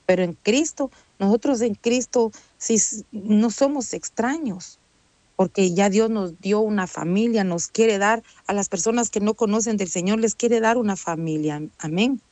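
A woman's voice: mid-range voice, a little slow, mellow, dry recording. A woman preaching a sermon in an earnest, conversational way, often adding "amén" and "¿verdad?". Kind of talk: Spanish-language sermon.

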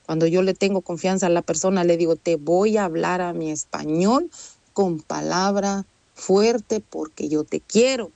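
A woman's voice: lowish voice, a little slow, vocal fry, warm tone, dry recording. A woman preaching a sermon in an earnest, conversational way, often adding "amén" and "¿verdad?". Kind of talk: Spanish-language sermon.

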